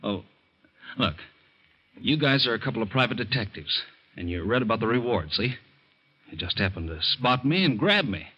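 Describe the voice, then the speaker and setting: An actor playing a slick, slangy ex-convict schemer in old-time radio crime drama, on a muffled vintage recording. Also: male voice, medium pitch, sing-song, normal speed, pauses often, breathy edge. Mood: confident, scheming.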